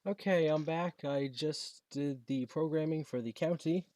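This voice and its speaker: A man's voice: fairly high pitch, darkish tone. Hesitant man giving a casual gadget demo. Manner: halting, tentative, thinking aloud. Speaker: product reviewer demonstrating a gadget. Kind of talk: casual unscripted unboxing and setup demo.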